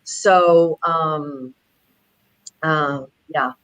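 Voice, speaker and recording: Chatty middle-aged woman from Texas, show guest, lowish voice, very dry close sound. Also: steady tone, very slow, fairly bright tone, dry recording, poor audio. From Houston, Texas. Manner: chatty, laughs as she talks. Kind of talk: casual chat.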